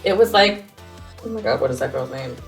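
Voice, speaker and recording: A woman's voice: rumbling low, expressive, a little fast, faint music behind. A young American woman co-hosting a podcast in casual, slangy, gossipy banter. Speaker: podcast co-host.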